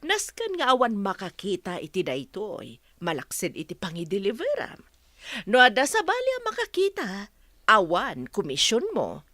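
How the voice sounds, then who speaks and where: A sly, bossy woman with an Ilocano accent, her pitch swinging dramatically in a very dry, close sound. She gives orders like a schemer in a radio comedy-drama. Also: huge pitch swings, moderate pace, sharp tone, dry recording. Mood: secretive, warning. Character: secretive, bossy best friend handing out shady deliveries.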